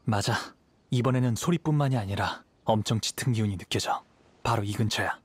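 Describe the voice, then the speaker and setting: An actor playing a calm, thoughtful young wandering samurai in a video game, speaking in a measured, analytical way. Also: male voice, lowish voice, slightly rough. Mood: composed, alert.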